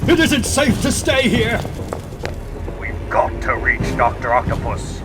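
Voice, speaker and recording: A man's voice: extremely high pitch, wildly swooping pitch, unhurried, distant mic, very noisy, voice pushed up, exaggerated voice. A man playing an alarmed atomic plant worker in a dramatic comic book reading, speaking urgently. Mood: panicked.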